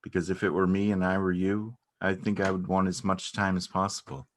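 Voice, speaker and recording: A man's voice: deep voice, monotone, brisk, a little hiss or hum, natural voice. A man playing an anxious, caring partner of an ill woman in a dramatic stage reading, earnest and questioning. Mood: earnest, hesitant.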